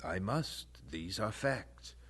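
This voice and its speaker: An actor playing a devout, stubborn young student, speaking earnestly and respectfully in a radio drama. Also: male voice, lowish voice, expressive, slow, a bit bright, slightly rough, heavily acted. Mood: respectful, troubled.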